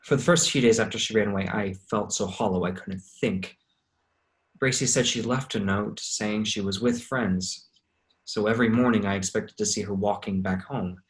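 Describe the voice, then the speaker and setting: A very low-pitched widower, grieving and anxious , as a man acts him in a staged reading. He speaks earnestly and haltingly, like someone telling his own story in a stage monologue. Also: fairly even intonation, close to an everyday voice. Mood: anxious, pleading. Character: grieving anxious widower searching for runaway young sister-in-law.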